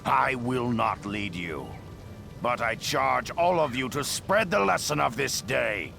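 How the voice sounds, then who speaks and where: Game rebel leader: proud, vengeful monster warrior, very heavy gravel, unhurried and terse, loud score underneath. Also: male voice, lively intonation, faint music behind, full character voice. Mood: commanding. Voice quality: deep gravelly growl. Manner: deliberate, terse, commanding. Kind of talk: dramatic video game acting.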